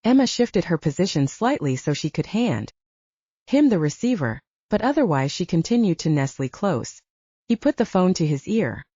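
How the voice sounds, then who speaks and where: A woman's voice: on the low side, big pitch swings, normal speed, dark tone, husky, no room sound, muffled recording. A woman narrating a scripted novel as an audiobook reader.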